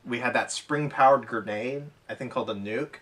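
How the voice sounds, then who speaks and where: A man's voice: medium pitch, moderate pace, fairly bright tone. A man in casual, unscripted conversation, explaining things and thinking aloud in loose, run-on sentences. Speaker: co-host of an airsoft podcast. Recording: voice distant from the microphone.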